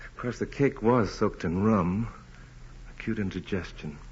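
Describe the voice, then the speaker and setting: An actor playing a troubled, conscientious doctor, sober and doubting, in 1940s radio drama style. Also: male voice, lowish voice, measured pace, a little mellow, close to an everyday voice. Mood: baffled, doubting. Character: troubled, conscientious country doctor, a man, plainspoken. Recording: muffled, noisy old radio broadcast.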